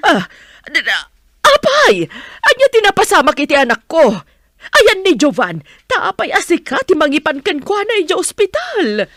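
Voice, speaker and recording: An actress playing a worried, demanding mother and madam in a radio drama, firing sharp questions. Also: extremely high pitch, wildly swooping pitch, normal speed, no echo, studio quality, full character voice. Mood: alarmed.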